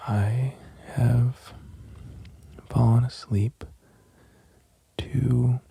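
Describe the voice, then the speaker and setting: Very hushed, dark-voiced man speaking slowly. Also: mid-range voice, fairly even intonation, slight background noise. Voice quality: soft, breathy, often near a whisper. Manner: gentle, unhurried, halting with long pauses. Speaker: host of an ASMR channel. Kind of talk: soft-spoken ASMR, musing and poem reading. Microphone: close microphone.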